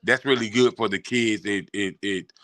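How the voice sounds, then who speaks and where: A man's voice: on the low side, moderate pace, sharp tone, no echo. A man hosting a casual interview, often repeating his words and phrases as he talks. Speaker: interview show host.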